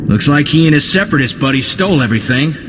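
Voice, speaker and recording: An actor playing an earnest, excitable young male gunslinger with a folksy way of talking, in a video game. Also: fairly high pitch, fast talker, background noise, lightly acted. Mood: rueful, indignant. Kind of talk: video game cutscene acting.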